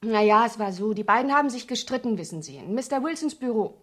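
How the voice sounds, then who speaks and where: A woman's voice: on the high side, lively intonation, quick pace. An actress playing a naive, cheeky teenage shop girl in a German radio crime drama, talking in a girlish, forthright way. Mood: indignant, eager.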